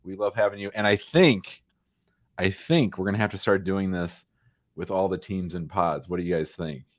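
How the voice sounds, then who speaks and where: Low-voiced man hosting a relaxed livestream. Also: normal speed, dry recording. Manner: relaxed, joking, hands turns to colleagues. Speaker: immigration lawyer and show host.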